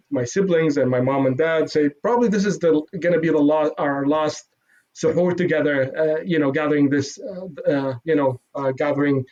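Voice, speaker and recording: A man from Iraq, a modest interview guest who talks haltingly with many restarts. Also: on the high side, darkish tone. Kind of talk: casual interview. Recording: dull lo-fi sound.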